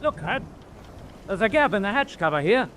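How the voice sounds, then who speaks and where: An actor playing an excitable British prisoner in a ship's hold in a radio drama, colloquial and agitated. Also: male voice, high voice, normal speed, a crowd in the background. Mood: watchful. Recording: noisy audio with ambience behind.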